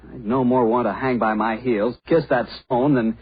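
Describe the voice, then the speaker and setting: An American man hosting and announcing in scripted 1940s radio style, chatting and pitching for a sponsor. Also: steady tone, normal speed, slightly rough. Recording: muffled 1940s radio recording.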